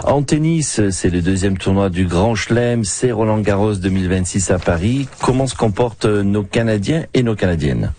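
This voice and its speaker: A man's voice: fairly low pitch, animated, moderate pace, few pauses, mellow, a little hiss or hum. A man hosting a live radio news program and handing over to reporters.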